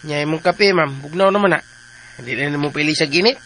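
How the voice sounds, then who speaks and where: A man's voice: a little high, a little fast, sharp tone, slight background noise, poor audio. An actor playing a fussy, devoted male servant with a Filipino accent in a radio drama. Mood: attentive.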